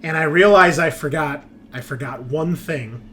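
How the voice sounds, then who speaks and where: A man's voice: on the high side, moderate pace, a bit bright. A man running a tabletop game as game master, narrating the story in a casual but dramatic way. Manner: casual recapping with dramatic read-out passages. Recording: music behind the voice.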